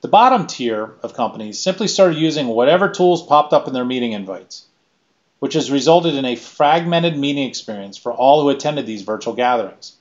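Man, middle-aged, scripted keynote, measured listing delivery, webcam audio, slightly muffled. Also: medium pitch, expressive. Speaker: keynote speaker. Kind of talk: scripted presentation.

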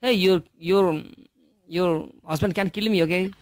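A man's voice: high-pitched, steady tone, measured pace. A young man with a Nepali accent, joking in broken English on a casual live video call from a room at home, speaking into a headset mic. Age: 20s. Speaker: live-stream host. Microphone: headset with boom mic.

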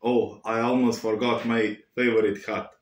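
A man's voice: lowish voice, level tone, roomy sound, natural voice. A man doing a preachy Bulgarian moto vlogger. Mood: earnest. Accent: Bulgarian-tinged English. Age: young adult. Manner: earnest, slow, preachy, repeats catchphrases. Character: earnest male motorcycle vlogger with broken English. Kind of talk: parody vlog impression. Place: small room indoors.

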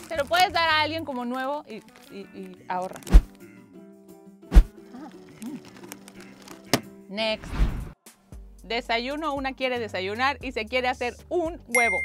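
A woman in her thirties hosting a playful kitchen gadget review, chatting to camera and tossing in sassy asides. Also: on the high side. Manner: chatty, sassy, asks herself questions and answers in asides. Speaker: lifestyle show host. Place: bright indoor studio set with kitchen gadgets on a table. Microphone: lapel mic. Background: background music.

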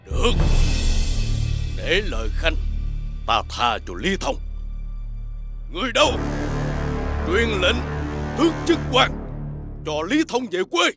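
A man playing a commanding but magnanimous older king and father in an animated fairy tale, with an imperious, expansive manner. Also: high voice, takes it slowly, long pauses, exaggerated voice. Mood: commanding, angry. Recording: loud music behind the voice.

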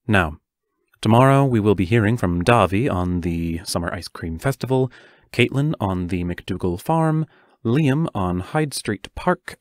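A man's voice: lowish voice, dry recording, clean studio sound, natural voice. An actor playing a serious, protective male schoolteacher who speaks in careful, formal phrases in an audio drama. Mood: instructive.

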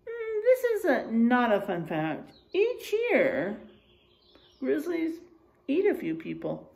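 A middle-aged woman, a kindergarten teacher reading a children's book aloud and pausing to explain things simply in a deliberate, expressive way. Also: high-pitched, gravelly. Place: indoors, in a room with drywall and posters.

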